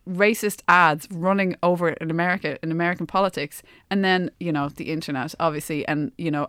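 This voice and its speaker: An Irish woman with a slightly low voice talks opinionatedly on a podcast. She is emphatic and often restarts phrases as she builds a point. The sound is close and dry. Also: a bit bright, no room sound. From Ireland. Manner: emphatic, halting and repeating words as she argues. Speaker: podcast co-host. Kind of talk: casual opinionated discussion.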